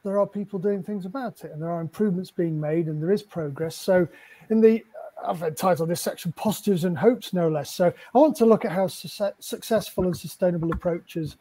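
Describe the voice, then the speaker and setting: A man's voice: high-pitched, brisk, dark tone. A middle-aged man moderating an online panel over a video call, with long, wordy questions. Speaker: panel host. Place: indoor room at home, book-lined.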